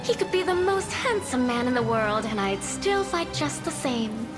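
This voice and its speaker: High-voiced haughty young noblewoman. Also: sing-song, normal speed. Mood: eager, cocky. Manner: theatrical, imperious, coquettish. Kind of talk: video game cutscene acting. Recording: loud game music behind. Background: game music.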